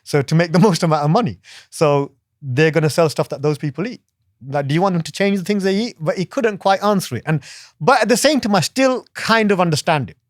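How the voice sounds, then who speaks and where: A man's voice: fairly high pitch, lively intonation, fairly quick, no echo, clean studio sound. A man talking as a guest in a casual discussion, often restarting and tagging his sentences with "yeah" and "right?". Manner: hesitant restarts, frequent "yeah" and "right?" tags.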